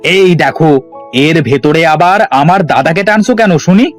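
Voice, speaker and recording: A man's voice: a little high, unhurried, soft background music, natural voice. A man playing a village farmer, a grumbling but doting husband and father, in a dramatic cartoon style. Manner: dramatic, expressive. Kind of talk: cartoon story character acting.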